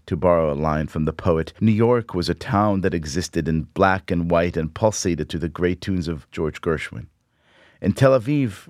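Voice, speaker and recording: Guy reading his own essay, real low voice, dry close sound, slow and wry. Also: a little mellow, dry recording. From Israel. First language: Hebrew. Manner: reflective, measured, wry.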